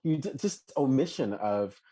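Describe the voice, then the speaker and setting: A man co-hosting a podcast in a casual live chat, talking animatedly with many restarts and high laughing outbursts. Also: expressive, a little slow, dark tone. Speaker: podcast co-host.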